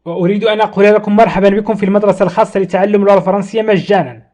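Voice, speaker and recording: A man with a notably high voice leads a recorded language lesson. He says each phrase slowly and deliberately, repeats it several times, then rattles off a brisk explanation before moving on. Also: fairly even intonation, darkish tone. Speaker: French teacher.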